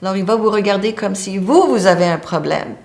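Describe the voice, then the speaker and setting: A woman's voice: mid-range voice, brisk, darkish tone. A woman lecturing on a medical topic, explaining step by step and pointing to a diagram. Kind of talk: teaching lecture.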